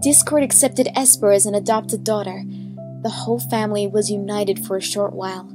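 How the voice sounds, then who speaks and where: A woman's voice: medium pitch, steady tone, brisk, music in the background, close to an everyday voice. A woman playing a solemn, wistful pony heroine, narrating a scripted story. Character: solemn, wistful pony heroine recounting her friends' lives.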